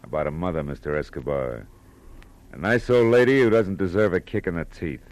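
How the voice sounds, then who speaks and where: An actor playing a wry, tough private detective in an old radio noir, with clipped, slangy delivery. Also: male voice, deep voice, animated, fairly quick, very noisy. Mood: casual, brisk. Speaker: narrator and lead. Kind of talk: 1940s radio noir narration and dialogue. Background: music.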